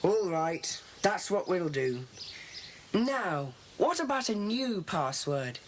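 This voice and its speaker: A woman playing a bossy, impatient schoolboy leader of a secret club in a children's audio drama, speaking in a brisk, commanding way. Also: lowish voice, very animated. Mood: firm. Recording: faintly noisy old cassette.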